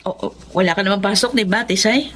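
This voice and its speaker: A woman's voice: medium pitch, a little fast, slight background noise, natural voice. A woman playing a weary, defensive mother and long-suffering wife in a radio drama, with a Filipino accent. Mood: mildly exasperated. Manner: exasperated, defensive. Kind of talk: Tagalog radio drama acting.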